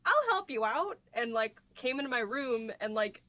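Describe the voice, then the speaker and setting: A woman's voice: a little high, sing-song, moderate pace, bright, forceful tone. A woman in her twenties co-hosting a podcast, chatting casually and telling anecdotes among friends. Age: 20s. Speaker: podcast host. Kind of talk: casual chat, personal anecdote.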